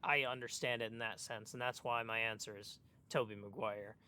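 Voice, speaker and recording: A man's voice: fairly low pitch, fairly even intonation, brisk, sharp tone, slight background noise. A man co-hosting a casual chat, thinking aloud and hesitating as he works out his answers. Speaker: podcast co-host.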